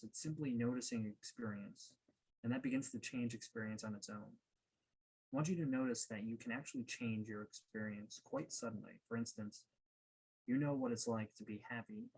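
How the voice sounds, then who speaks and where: A man leading a guided meditation as a coach, speaking in a calm, unhurried way with pauses. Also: lowish voice, breathy edge, poor audio.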